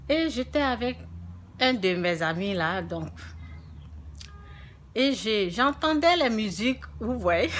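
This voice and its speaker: A woman's voice: fairly high pitch, lively intonation, slow pace, long pauses, a bit bright, a little hiss or hum. A woman from Africa with a francophone African accent, giving an informal spiritual talk to viewers and repeating phrases emphatically. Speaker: religious vlogger.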